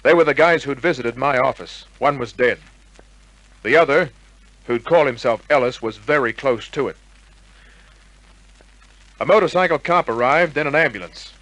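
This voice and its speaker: Hard-boiled male detective, played by a man for vintage radio drama: cool, wry, clipped, with a bright mid-pitched edge. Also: normal speed, long pauses, no room sound, natural voice, poor audio. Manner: wry, clipped tough-guy delivery. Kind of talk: old-time radio crime drama acting and narration.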